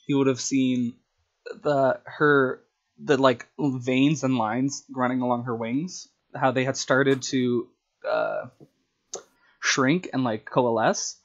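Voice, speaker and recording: A man with a mid-pitched voice, caught on a dull, lo-fi recording. He talks casually as a storyteller, walking through plot ideas and briefly acting out what characters would say. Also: fairly even intonation, slow. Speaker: storyteller and tabletop game player. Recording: dull, lo-fi sound.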